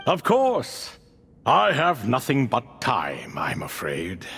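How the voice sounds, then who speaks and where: An actor voicing an ancient, courtly and whimsical ibis king spirit in a video game, speaking in grandiloquent, drawn-out phrases. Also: medium pitch, wildly swooping pitch, vocal fry, a bit bright, full character voice. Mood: gracious, whimsical. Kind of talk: video game character dialogue. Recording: long hall reverb with music. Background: music.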